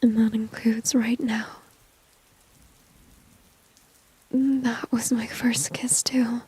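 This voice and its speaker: Woman playing a confident teasing villainess, ASMR roleplay, soft dark whisper, breathy, intimate close mic, very dry sound. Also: a little high, level tone, slow pace, no echo, full character voice. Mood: flirty, teasing. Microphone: close microphone, dry.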